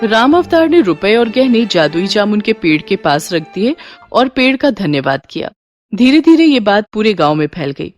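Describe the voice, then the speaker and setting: A woman's voice: mid-range voice, sing-song, moderate pace, faint music behind. A woman narrating a scripted moral story as a storyteller.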